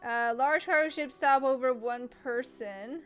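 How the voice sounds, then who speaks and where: Young woman streamer, high voice, excitable, rambling live commentary. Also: unhurried, clean tone, faint music behind. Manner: chatty, excitable, thinks aloud. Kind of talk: casual gameplay commentary.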